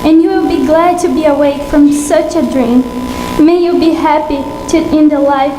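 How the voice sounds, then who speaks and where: A woman's voice: sits high, fairly even intonation, normal speed, natural voice. A woman playing a hurt but resolute young fiancée, earnest and reproachful, in a live radio play. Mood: sorrowful, resolute. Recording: echoey hall, background noise.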